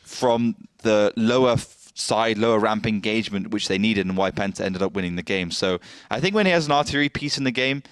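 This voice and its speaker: A man giving calm, analytical esports match commentary, often pausing on fillers. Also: lowish voice, smooth, no room sound. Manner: measured, analytical, frequent fillers.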